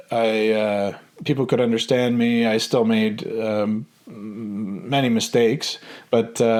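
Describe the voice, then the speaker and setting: A man's voice: medium pitch, a little mellow. Guy in his 30s chatting about his life, lots of ums, starts over a bunch. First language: English. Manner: hesitant, many ums and restarts. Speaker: vlogger. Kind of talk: casual personal storytelling.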